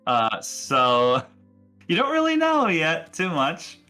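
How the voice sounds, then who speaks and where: A man's voice: fairly high pitch, wildly swooping pitch, slow pace, sharp tone, faint music behind. A man working as game master, narrating casually in an easygoing, encouraging way. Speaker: game master of a tabletop roleplaying game.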